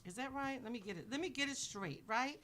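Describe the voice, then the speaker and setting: A woman from Belize hosting a live talk show, chatty and quick to laugh. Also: high voice, a bit bright, slight background noise. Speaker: host. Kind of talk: casual chat.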